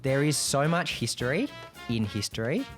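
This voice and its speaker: A man's voice: mid-range voice, sing-song, normal speed, over music. A man talking casually as a panelist on a podcast among friends.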